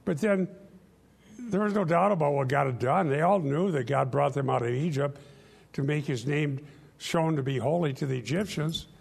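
Older male radio pastor, gravelly and a little high-pitched, earnest emphatic Bible teaching. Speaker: radio show host and pastor.

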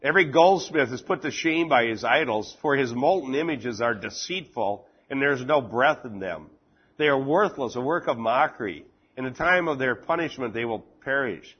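A man teaching an informal Bible class, chatting with the group and reading scripture slowly and deliberately. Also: mid-range voice, animated, breathy edge, muffled recording. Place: classroom with fans running.

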